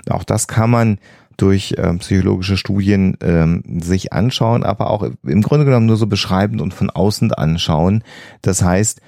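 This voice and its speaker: A man's voice: deep voice, normal speed, gravelly, mellow, no echo, clean studio sound. A man who explains things as a podcast host, often rephrasing himself as he goes.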